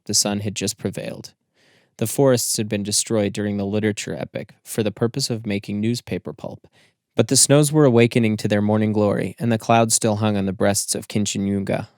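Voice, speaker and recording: A man narrating a literary story as an audiobook, in a measured, formal storytelling manner. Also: on the low side, a little mellow, dry recording, studio quality.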